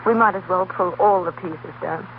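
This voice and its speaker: A woman's voice: lowish voice, moderate pace, close to an everyday voice. An actress playing an earnest, anguished young woman schoolteacher, intense and emotional in 1930s radio drama style. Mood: sad, confessing. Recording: noisy, tinny 1930s radio broadcast.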